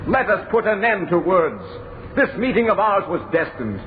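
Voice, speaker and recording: A man's voice: sits high, very animated, normal speed. An actor playing a fervent young male revolutionary, declaiming a political speech in old-time radio style. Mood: solemn, resolute. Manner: declamatory, rhetorical, insistent. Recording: tinny, band-limited old radio, faint noise.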